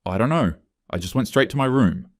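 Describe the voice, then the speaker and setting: A man voicing an upset, fearful woman making an office complaint in a narrated story, anxious and halting. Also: medium pitch, animated, dry recording, studio quality, pitched above the performer's own voice, natural voice. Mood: uneasy, confiding.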